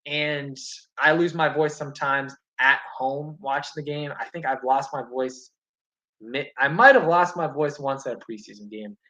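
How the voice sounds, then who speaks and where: A young man with a fairly high voice talks loosely from home, drifting between thoughts and restarting sentences as he answers viewer comments. The stream audio is dull and a little lo-fi. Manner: relaxed, rambling, many fillers and restarts. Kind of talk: casual live-stream chat reading comments.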